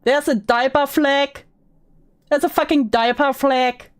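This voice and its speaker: A woman's voice: sits high, fairly even intonation, measured pace. A woman doing sarcastic reaction commentary in a mocking, blunt, sweary manner. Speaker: commentary video host. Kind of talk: sarcastic reaction commentary, mixing read-aloud posts with asides.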